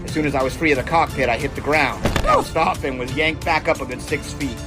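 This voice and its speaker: A man's voice: a little high, fairly quick, music in the background, lightly acted. A man playing a confident, seasoned 1920s test pilot in a scripted audio drama, talking in a folksy, assured, explanatory way. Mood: gritty recounting.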